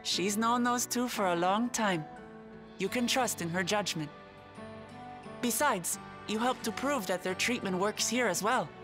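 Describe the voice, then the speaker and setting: Woman playing a caring upbeat young heroine, friendly, encouraging, mid-pitched, video game cutscene, faint music behind. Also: unhurried, natural voice. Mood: reassuring, upbeat. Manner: friendly, encouraging, plain-spoken. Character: warm, caring, upbeat young female leader.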